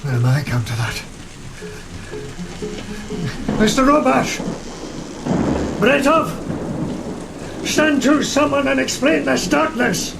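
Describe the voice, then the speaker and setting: High, creaky, haunted sea captain. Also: male voice, big pitch swings, exaggerated voice, poor audio. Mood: frightened, irritated. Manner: slow, fervent, declamatory, calling out. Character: haunted, devout male sea captain, lost and desperate. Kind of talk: gothic horror audio drama acting. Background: rain, thunder and music.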